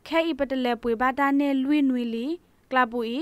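A woman's voice: high-pitched, fairly even intonation, moderate pace, clean tone, no echo. A woman radio news presenter reading scripted news.

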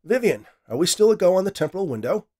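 A man voicing an eager male scientist, speaking in a raised, excited, emphatic way. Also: mid-range voice, a little fast, voice pushed up.

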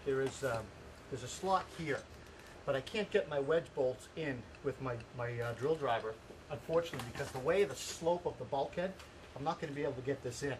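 A man's voice: medium pitch, fairly quick. A man in his forties giving an informal, plain-spoken how-to as a carpenter, explaining the job step by step. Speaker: carpenter hosting a home improvement series. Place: outdoors in a house yard. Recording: noisy outdoor camera audio with ambient sound.

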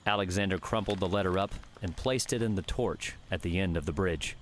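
A man narrating a fiction audiobook with measured, scripted storytelling. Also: low-pitched, a little hiss or hum.

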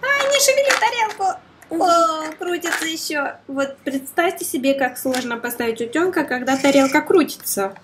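A woman's voice: high-pitched, lively intonation, normal speed, background noise. A woman chatting playfully to a child as she demonstrates a toy, full of exclamations, oohs and gasps. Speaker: toy review host, mother playing with her child.

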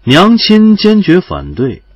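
Animated male audio drama narrator. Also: high-pitched, slow, no echo, lo-fi sound.

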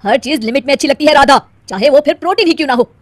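Cartoon young husband, voiced by a woman: exasperated, admonishing, very high voice, dry sound. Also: racing, dry recording, lightly acted. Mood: angry. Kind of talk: Hindi cartoon moral-story acting.

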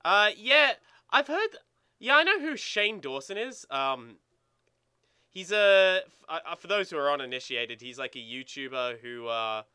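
A man's voice: a little high, big pitch swings, measured pace, bright, forceful tone, smooth, dry recording, clean studio sound. A young man co-hosting a gaming video with casual, playful banter and crude jokes. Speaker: let's play co-host.

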